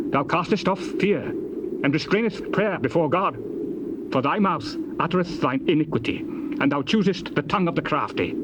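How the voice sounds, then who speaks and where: Casting: a stern scriptural elder, rhetorical and rebuking, slightly high-pitched, on a noisy cassette recording. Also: male voice. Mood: accusing. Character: Eliphaz, stern, accusing biblical elder. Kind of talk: dramatised King James scripture, theatrical recitation. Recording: noisy old cassette tape.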